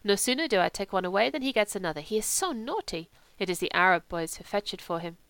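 A woman voicing a kindly, naive foreign monk in an audiobook, with a gentle manner. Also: medium pitch, sing-song, fast, smooth, dry recording. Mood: gentle, pleased. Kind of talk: audiobook character reading.

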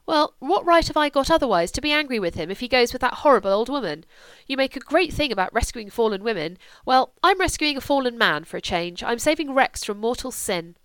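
A woman's voice: on the high side, animated, fast talker, few pauses, fairly bright tone, smooth, no echo. A woman doing a headstrong young society lady. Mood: petulant. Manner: impetuous, petulant. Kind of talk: audiobook character voice.

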